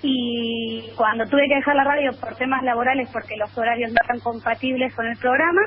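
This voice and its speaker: An Argentine woman in her thirties with a high, bright voice and an accent that blends Argentine and Spain Spanish. She talks eagerly and at length, in lively bursts. The line sounds muffled and lo-fi, with faint noise behind her. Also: fairly quick. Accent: Argentine with Spanish (Spain) mix. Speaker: guest columnist. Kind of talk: phone interview.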